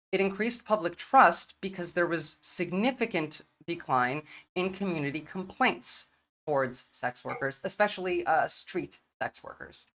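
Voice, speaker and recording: Low-voiced woman arguing earnestly. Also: fairly bright tone. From United States. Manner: earnest, argumentative, reels off statistics with some hesitations. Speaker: debater. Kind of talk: opening statement in an online debate.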